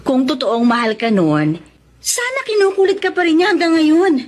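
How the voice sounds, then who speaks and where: A protective older sister in a radio drama, with a high voice and lively, swooping intonation. She is practical, blunt and a bit of a nag. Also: moderate pace. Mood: chiding, practical. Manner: blunt, chiding. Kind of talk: Tagalog radio drama acting.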